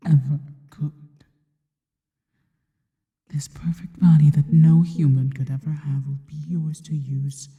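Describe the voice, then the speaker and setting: Woman as a dark, whispering, seductive spider monster. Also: low-pitched, lo-fi sound. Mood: devoted, possessive. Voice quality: breathy, mostly whispered. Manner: slow, teasing, intimate delivery. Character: seductive, possessive, devoted female spider monster. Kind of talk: ASMR roleplay. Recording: long reverberant tail on the voice.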